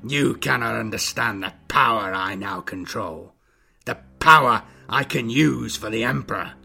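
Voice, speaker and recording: A man voicing a scarred, corrupted older inquisitor in an audiobook, with a hissing, gravelly voice and a slow, grandiose, scornful delivery. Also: medium pitch, sharp tone, faint music behind, heavily acted. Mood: scornful, proud.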